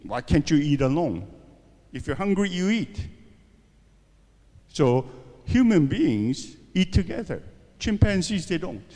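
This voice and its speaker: A man's voice: on the high side, big pitch swings, slow pace, long pauses, dark tone, in a large hall. A man giving a university seminar lecture, asking rhetorical questions and often checking in with "okay?" and "right?". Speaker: seminar speaker.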